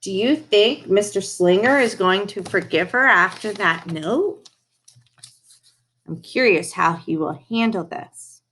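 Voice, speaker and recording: A woman reading a children's story aloud in an animated, emphatic way, pausing to comment to her listeners. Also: medium pitch, slightly rough. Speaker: storybook reader. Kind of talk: expressive children's read-aloud with asides to listeners.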